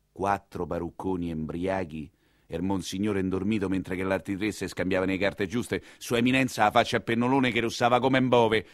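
A man with a Roman accent performing an earthy, expressive theatrical monologue as a storytelling coachman. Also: low voice, no room sound, clean studio sound. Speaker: solo stage actor narrating as a coachman.

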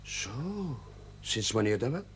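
Brief: calm Dutch priest, measured and gently stern, lively pitch. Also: male voice, breathy edge, heavily acted. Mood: patient. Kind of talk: 1970s TV drama acting.

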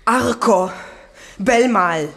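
A woman's voice: mid-range voice, drawn-out words, vocal fry, a bit bright, heavily acted. An actress playing an eccentric, self-absorbed woman writer in a radio drama, theatrical, imperious and scatterbrained. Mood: flustered. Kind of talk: German radio drama acting.

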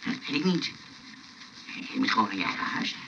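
An actor playing a timid, earnest, reluctant simple fellow in a radio play, speaking hesitantly. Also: male voice, lowish voice, big pitch swings, fairly quick, creaky, sharp tone, slight background noise, heavily acted. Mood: reluctant, worried. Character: timid, honest simple odd-job man, reluctant friend. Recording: muffled radio play with faint music.

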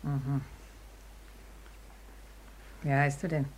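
An actress playing an offhand, soft-hearted young woman of a thieves' gang in a 1950s radio drama. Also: very deep voice, little pitch movement, very slow, exaggerated voice. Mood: tender.

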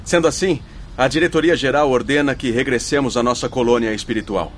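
An actor in a radio drama playing an authoritative spirit commander with a formal, commanding manner. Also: male voice, medium pitch, a little fast, close to an everyday voice. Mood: formal, benevolent. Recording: background noise with night insects.

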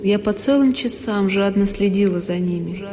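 An actress playing a sorrowful young woman who recalls a lonely childhood, in an intimate, deliberate radio monologue. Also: mid-range voice, lightly acted. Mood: wistful, pained. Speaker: solo actress. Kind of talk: dramatic literary monologue for radio. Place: studio radio production. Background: music.

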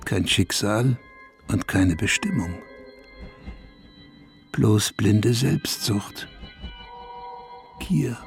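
An actor playing a wistful, bitter man in a first-person radio drama, speaking in a measured, intimate way. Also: on the low side, animated, faint music behind, exaggerated voice. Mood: bitter, intense. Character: wistful man recalling a lost idol, brooding and bitter.

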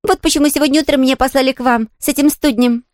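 Woman playing a high-pitched, eager little girl. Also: fairly even intonation, very fast, a little mellow, dry recording, clean studio sound, lightly acted. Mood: cheerful. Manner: eager, courteous, artless. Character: polite, chatty, cheerful little girl. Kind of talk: audiobook character voice.

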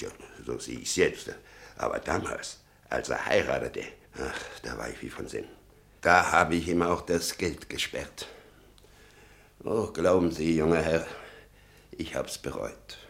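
An actor playing an embittered old father in a German radio drama, gravelly, muttering and brooding with sudden outbursts. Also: a bass voice, a bit bright, full character voice. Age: older. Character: embittered old father obsessed with his convicted son.

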